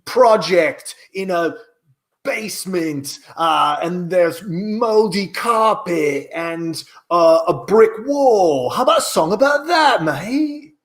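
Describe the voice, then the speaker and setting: Man putting on a high-pitched South London punk lad. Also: animated, slow pace. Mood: chummy, rambling. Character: British punk bandmate, South London lad, pushy and rambling. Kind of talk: improvised comedy scene.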